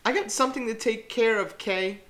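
A woman's voice: fairly high pitch, fairly quick, a bit bright. A woman chatting casually on a podcast and playfully reading lines aloud with mock drama. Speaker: podcast participant.

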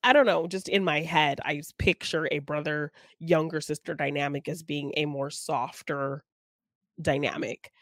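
A woman's voice: deep voice, fairly even intonation, moderate pace, a bit bright, no echo, clean studio sound. A woman co-hosting a podcast, discussing film casually and reflectively, often thinking aloud as she goes. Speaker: podcast co-host.

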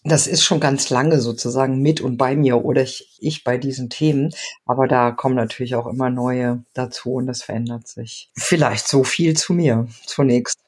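A woman's voice: a bass voice, steady tone, normal speed, a little mellow, slightly rough. A woman in her fifties from Argentina, a sociology professor answering interview questions thoughtfully with frequent fillers. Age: 50s. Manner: thoughtful, many fillers, laughs now and then. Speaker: sociology professor, podcast guest.

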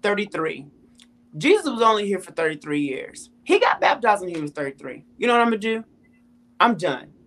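A woman's voice: medium pitch, very animated, a little fast, fairly bright tone. Emphatic woman in her thirties. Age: 30s. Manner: emphatic storytelling, repeats phrases for weight. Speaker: interview guest. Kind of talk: personal testimony in a faith interview.

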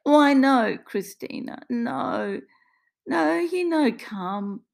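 A woman's voice: on the high side, slow, darkish tone, pitched above the performer's own voice. A woman voicing a desperate woman in her eighties with an Italian accent, pleading in broken English and repeating her words. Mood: desperate, tearful. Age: 80s.

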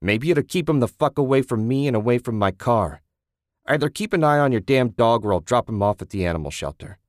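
A man's voice: on the low side, lively intonation, normal speed, dry recording, clean studio sound, lightly acted. A man voicing a hot-tempered husband who accuses his wife in an angry, curt way. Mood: bitter.

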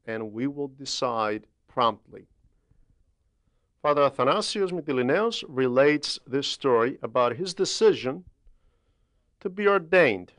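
Slow, clear-voiced man reading a homily. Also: on the high side, a bit bright, no echo. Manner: deliberate, emphatic, didactic with measured pauses. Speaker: translator reading a homily aloud. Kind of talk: religious homily, read aloud.

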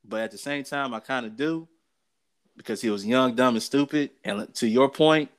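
A man's voice: medium pitch, moderate pace. Emphatic American man talking sports. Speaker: sports talk show co-host. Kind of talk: casual opinionated sports and gossip chat.

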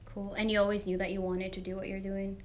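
A woman's voice: medium pitch, completely flat delivery, fast talker. A woman hosting a podcast who reads a scripted guest introduction and then asks questions a little hesitantly. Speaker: podcast host.

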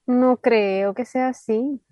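An actress plays a curious, slightly guarded Chilean woman in a radio drama. Her voice sits fairly high and sounds conversational and questioning, recorded dry. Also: steady tone, slow, warm tone, dry recording, soft background music. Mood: playful, guarded. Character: inquisitive, guarded woman named Amanda with a secretive past. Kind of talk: naturalistic radio drama dialogue.

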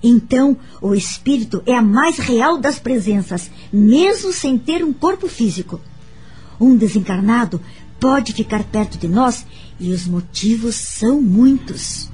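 An actress playing a reflective, forgiving woman telling her story in a radio drama, earnest and measured. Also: fairly high pitch, animated, darkish tone, slightly rough, soft background music, full character voice. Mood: serene, earnest. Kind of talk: radio drama acting with narration.

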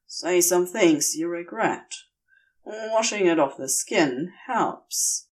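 A woman voicing a worried, protective male demon in an audiobook, terse, guarded and quick to blame himself. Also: fairly low pitch, animated, distant mic, voice pushed down. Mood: gruffly caring. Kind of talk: acted audiobook dialogue.

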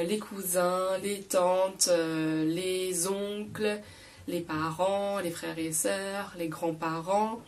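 Young woman, nasal and congested, a bit hoarse, lowish voice, a little off-mic, casual lesson. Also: distant mic. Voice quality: slightly hoarse. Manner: frequent fillers, repeats key words slowly. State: nasal, stuffy voice from a cold. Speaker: podcast host teaching French.

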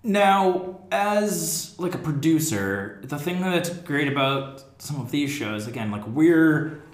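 A man's voice: on the high side, lively intonation, slow. An American man hosting a casual panel discussion, thinking aloud and putting questions to the group. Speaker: podcast host and theater producer. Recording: echoey room.

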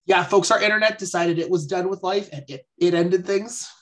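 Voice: mid-range voice, brisk, fairly bright tone. A podcast co-host in casual chat, upbeat and teasing, laughing often.

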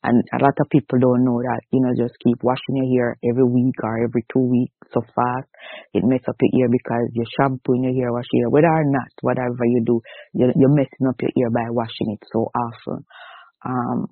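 A man's voice: mid-range voice, level tone, brisk, no echo. A Jamaican-accented man from Jamaica, talking as an interview guest in a plain, earnest, repetitive way. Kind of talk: casual interview talk. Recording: tinny dry phone line.

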